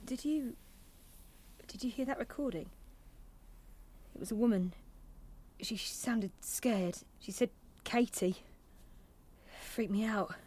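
An actress playing an anxious, stubborn young theme-park trainee with a British accent, in a halting, self-conscious, naturalistic audio drama style. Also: female voice, a little high, warm tone, breathy edge. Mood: hesitant, vulnerable. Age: young adult.

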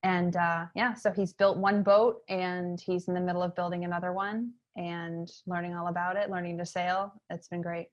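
A woman's voice: lowish voice, normal speed, hardly any pauses, lo-fi sound. A woman in her thirties from Maine, telling stories in a chatty interview with lots of fillers. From Maine, USA. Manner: chatty, frequent fillers, lively emphasis. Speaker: interview guest, entrepreneur. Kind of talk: casual conversational storytelling.

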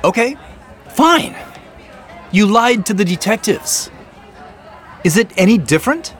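Earnest, animated, higher-voiced boss. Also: male voice, unhurried, some chatter behind, exaggerated voice. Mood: concerned, pleading. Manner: conversational, insistent, questioning. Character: earnest, probing male boss confronting his assistant. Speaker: actor in an audio drama. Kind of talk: naturalistic audio drama dialogue.

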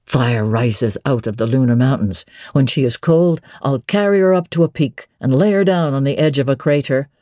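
A woman voicing a brooding, teasing middle-aged gentleman, commanding and whimsical, in a dramatic audiobook reading of a classic novel. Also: very deep voice, normal speed, few pauses, no echo, clean studio sound. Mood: playfully fanciful. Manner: whimsical, authoritative, theatrical.

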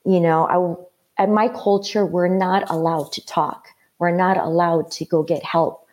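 A woman from Mexico telling her life story as an interview guest, earnest and halting, with many fillers. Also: fairly low pitch, fairly even intonation, darkish tone.

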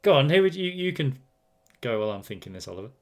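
A man's voice: medium pitch, big pitch swings, quick pace. A man co-hosting a casual chat, enthusiastic and teasing as he answers viewer questions.

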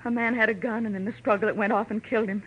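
A woman acting as a troubled, earnest dame in a 1940s radio crime drama. Her medium-pitched voice is rough and husky, on a muffled old recording. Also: fairly even intonation, very fast, lightly acted. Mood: shaken, confessing.

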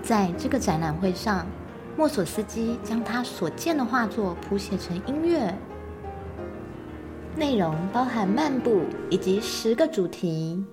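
A woman's voice: medium pitch, expressive, a little slow. A woman narrator reading a scripted children's story expressively. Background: music.

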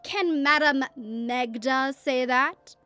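A spoiled starlet in an audio drama, demanding and petulant. Her voice sits high and she takes her time over her words, closely recorded with little room sound. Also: slow pace, bright, forceful tone, clear, resonant tone, no room sound, faint music behind, exaggerated voice. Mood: indignant. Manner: entitled, petulant. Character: spoiled young female former child star, demanding and haughty. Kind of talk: scripted audio drama acting.

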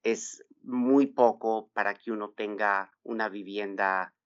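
A middle-aged man from El Salvador who grew up in Texas, a financial advisor guest on a podcast, explaining things in a measured way with frequent "eh" fillers. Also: lowish voice, fairly even intonation, clean tone, no echo, highs cut off. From El Salvador, raised in Texas. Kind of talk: podcast interview.

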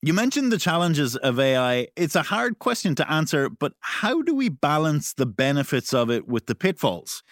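A man's voice: a little high, very animated, normal speed, few pauses, a bit bright, no echo, studio quality. A man hosting a podcast, interviewing in a casual, playful style. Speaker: podcast host.

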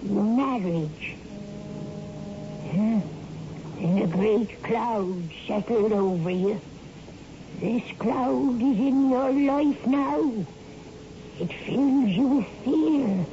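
A woman's voice: on the high side, mellow. An actress playing an old fortune teller, a humble yet foreboding grandmother, speaking portentously in a 1960s radio drama. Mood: mysterious, portentous. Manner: slow, portentous. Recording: dull, noisy old radio recording.

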